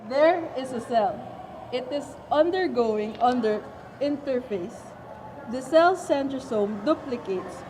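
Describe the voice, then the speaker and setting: A fairly high-voiced doctor played by a woman explains in a halting, teasing way, as if a little reluctant. It is an amateur puppet show recorded with clear background noise. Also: expressive. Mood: instructive. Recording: noisy amateur room recording.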